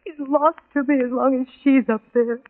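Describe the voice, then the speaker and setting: A woman's voice: high voice, steady tone, moderate pace, close to an everyday voice. Actress as an obsessive jilted woman, tinny vintage radio. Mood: bitter, obsessed. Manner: impassioned, breathy pleading swelling into anguish. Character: lovesick, obsessive jilted young woman, overwrought and bitter. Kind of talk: old-time radio drama acting.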